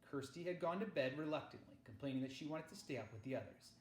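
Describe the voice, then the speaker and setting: A man reading a children's novel aloud as narrator, with measured, dramatic phrasing. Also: a little high, animated. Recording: echoey, roomy sound.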